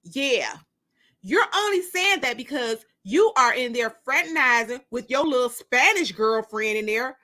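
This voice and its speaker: A woman's voice: fairly high pitch, animated, measured pace, sharp tone. A woman voicing a jealous, indignant woman in love, sassy and accusing, in a dramatic audiobook.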